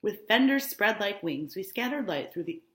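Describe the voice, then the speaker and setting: A woman's voice: medium pitch, very animated, moderate pace, echoey room. A woman, a teacher reading a novel aloud and breaking off to explain it plainly. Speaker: high school English teacher.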